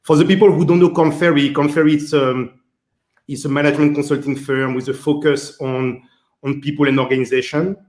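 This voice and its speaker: A man's voice: on the high side, steady tone, a little fast, a little mellow. A man from Martinique with a French accent, answering interview questions as a guest executive, hesitant and full of fillers. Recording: roomy echo.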